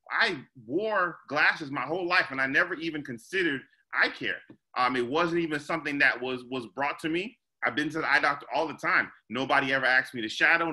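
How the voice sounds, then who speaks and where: Forceful man on video call. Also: mid-range voice, poor audio. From Trinidad. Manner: emphatic, repeats words when pressing a point. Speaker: optometrist panelist. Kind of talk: panel discussion over video call. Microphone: video call webcam.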